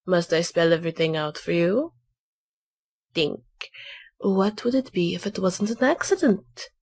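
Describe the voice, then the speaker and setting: A woman voicing a cool, condescending female blackmailer with a deliberate, taunting delivery in an amateur game fandub. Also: lowish voice, a little mellow, husky.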